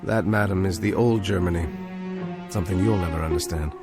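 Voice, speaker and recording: A man's voice: sits low, brisk. A man playing an earnest, intense American with a clipped, guarded way of speaking and an American accent, in vintage television drama style. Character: earnest, urgent American man carrying a grave warning. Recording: old TV soundtrack with music. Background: dramatic music.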